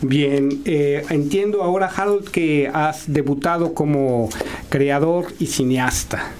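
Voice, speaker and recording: A man's voice: on the high side, takes it slowly, a little mellow, a little hiss or hum. A man interviewing a guest on a radio programme, introducing and asking questions.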